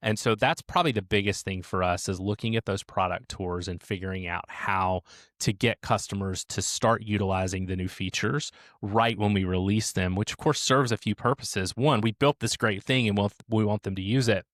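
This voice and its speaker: A man with a low voice answers interview questions in a measured, explanatory way. He sounds close and dry. He slows down whenever he stresses a point. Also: a bit bright, no room sound. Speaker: support team leader, guest.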